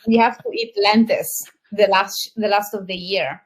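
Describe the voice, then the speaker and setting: A woman's voice: mid-range voice, fairly even intonation, normal speed, slightly rough, low-quality recording. A young woman, a model and content creator, chatting easily as an interview guest, laughing often and using lots of fillers. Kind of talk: casual interview chat.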